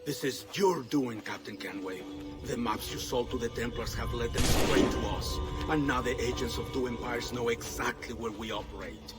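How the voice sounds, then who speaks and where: A man's voice: medium pitch, close to an everyday voice. Man acting a stern assassin mentor, music behind. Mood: accusing. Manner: formal, grave, deliberate. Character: stern, dignified male leader of secret assassin order. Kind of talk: video game cutscene dialogue.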